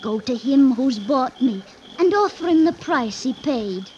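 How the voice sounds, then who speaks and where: A poor young servant girl, yearning and coaxing. Her voice is high and slow, with old-fashioned phrasing, in radio drama style on a muffled broadcast. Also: animated, mellow, slight background noise. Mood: coaxing, loving. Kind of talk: classic radio drama acting.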